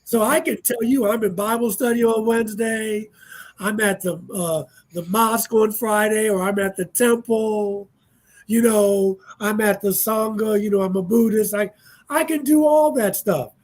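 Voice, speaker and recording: Husky, easygoing man in his sixties. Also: high-pitched, fairly even intonation, measured pace, a little mellow. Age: 60s. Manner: reflective, easygoing, calls listeners "man". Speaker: panel guest. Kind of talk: casual radio panel talk.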